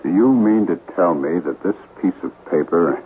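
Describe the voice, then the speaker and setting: A man's voice: low-pitched, expressive, normal speed, narrow, tinny audio, slight background noise. An actor playing an earnest, uneasy man in a radio drama, heard on an old radio recording. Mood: puzzled. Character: earnest, shaken male house buyer confiding in ghost hunter.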